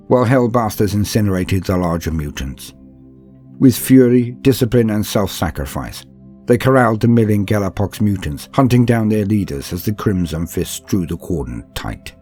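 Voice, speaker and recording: A man reading scripted narration like an audiobook, in a calm, steady, measured manner. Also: deep voice. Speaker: narrator reading a campaign book. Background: faint background music.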